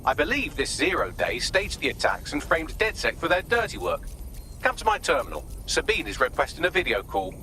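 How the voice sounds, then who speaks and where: A man's voice: fairly high pitch, wildly swooping pitch, brisk, pushed and bright, soft background music, exaggerated voice. A British man voicing a cheeky, sardonic AI assistant in a video game, with wry, theatrical mockery. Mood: matter-of-fact.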